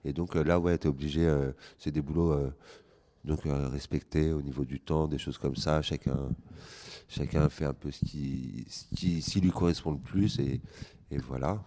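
A man's voice: extremely low pitch, steady tone, normal speed, mellow. A man living in a squat, answering questions enthusiastically, stumbling over repeated words. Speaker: interviewee, squat resident. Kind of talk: casual interview answer.

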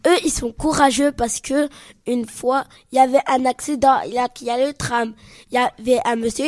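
A young female speaker talking in French with a high voice. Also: a little mellow, no room sound, clean studio sound. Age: child or teen.

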